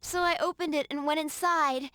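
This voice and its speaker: A teenage ex-bully weighed down by guilt; high voice, halting confession, game dialogue. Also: female voice, fairly even intonation, bright, forceful tone, dry recording. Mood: shaken confession. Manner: hesitant, confessional. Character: guilt-ridden teenage girl, former school bully.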